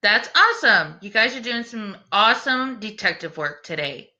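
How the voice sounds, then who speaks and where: A woman teacher doing an expressive children's read-aloud, speaking in an encouraging way aimed at young listeners. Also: a little high, moderate pace, dull audio. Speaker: teacher reading a picture book aloud.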